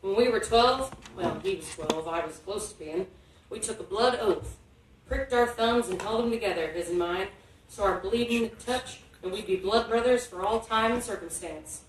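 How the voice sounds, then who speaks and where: A man's voice: high voice, normal speed, lightly acted. A woman voicing a guarded, loyal rural man with folksy, ungrammatical speech in a story read aloud. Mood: earnest, reminiscing. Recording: echoey hall, distant, faint noise.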